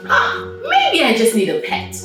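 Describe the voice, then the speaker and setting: An eager, earnest young girl from a storybook, voiced by a woman reader, pitched up and speaking with drawn-out emphasis. The sound is very echoey, a little away from the mic. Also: huge pitch swings, over loud music, full character voice. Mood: wistful longing. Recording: very echoey room, distant mic.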